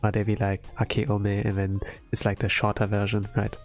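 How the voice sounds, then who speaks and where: A man's voice: lowish voice, completely flat delivery, moderate pace. German young man streamer, casual rambling chat, faint music behind. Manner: rambling, many fillers.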